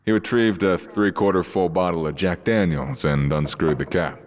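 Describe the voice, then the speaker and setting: A man's voice: deep voice, moderate pace. A man reading scripted narration for an audio drama as its narrator.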